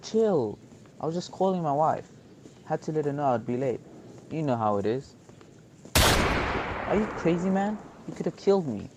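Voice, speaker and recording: A man's voice: on the high side, expressive, moderate pace, pauses often, slight background noise. A young man acting a chatty, flippant husband held hostage in an amateur radio drama. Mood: panicked, indignant. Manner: flippant, talkative, complaining. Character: cheeky, chatty bank hostage and harried husband. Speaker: student actor.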